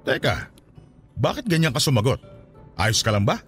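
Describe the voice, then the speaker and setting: A man's voice: mid-range voice, big pitch swings, moderate pace, a bit bright, breathy edge, faint music behind. A Filipino man acting a concerned, questioning, business-minded partner in a radio drama. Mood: practical, concerned. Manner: questioning, solicitous.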